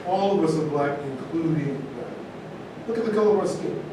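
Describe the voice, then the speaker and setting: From far off in a very echoey room, a man plays a principled male Navy veteran in a stage reading. His voice is fairly high, earnest and firm. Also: animated, normal speed, lightly acted. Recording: echoey room, distant mic, noisy rumble.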